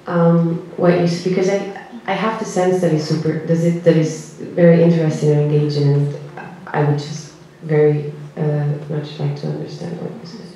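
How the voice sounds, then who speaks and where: A woman's voice: low voice, level tone, dark tone, a little hiss or hum. A woman in the audience with English as a second language, asking a question hesitantly and apologetically. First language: not English. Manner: apologetic, halting, fillers. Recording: echoey hall, distant mic.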